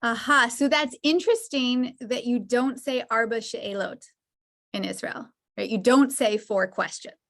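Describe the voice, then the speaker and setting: Woman lecturer on a video call, slightly high voice, thoughtful. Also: a little slow, fairly bright tone. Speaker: presenter of an online lecture. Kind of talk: online lecture and Q&A.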